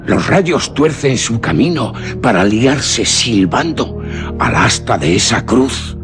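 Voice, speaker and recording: An actor playing a grave, solemn storyteller of an old devil legend in suspense radio theatre. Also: male voice, mid-range voice, sing-song. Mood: grave, ominous. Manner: solemn, deliberate, dramatic. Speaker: narrator. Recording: background music under radio narration.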